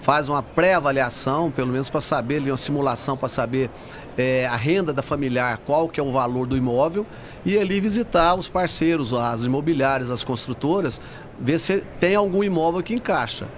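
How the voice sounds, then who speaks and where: A man's voice: mid-range voice, a little fast. A Brazilian man speaking as a bank executive guest in a casual interview at a trade fair. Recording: faint noise of a busy fair.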